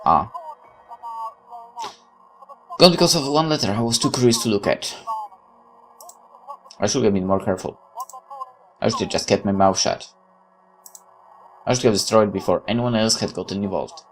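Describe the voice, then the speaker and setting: A man's voice: on the low side, animated, normal speed, pauses often, faint music behind, low-quality recording. A man hosting a gaming video, reading visual novel text aloud and adding casual commentary.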